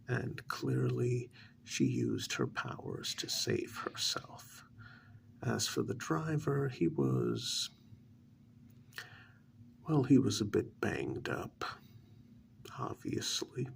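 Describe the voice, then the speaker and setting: A man playing a deferential agent reporting to his superior in a soft, half-whispered ASMR role-play, with a measured, halting delivery and a gravelly, breathy voice. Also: mid-range voice, a little hiss or hum, full character voice.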